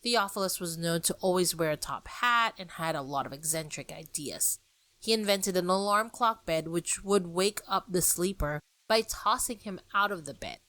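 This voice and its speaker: A woman hosting a podcast, reading a scripted narration on art history in a lively, explanatory way. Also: lowish voice, moderate pace, dry recording. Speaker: podcast host.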